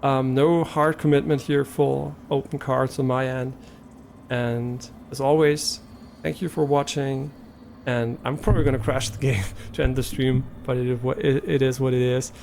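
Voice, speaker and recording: A young man from Germany chats to his stream in English with a clear German accent, his voice gravelly and sitting at an ordinary pitch. He talks loosely, hesitating, trailing off and restarting his sentences. A faint hiss of noise sits under the recording. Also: steady tone, unhurried, a little mellow. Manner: rambling, hesitant, many fillers and restarts. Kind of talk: casual live stream chat.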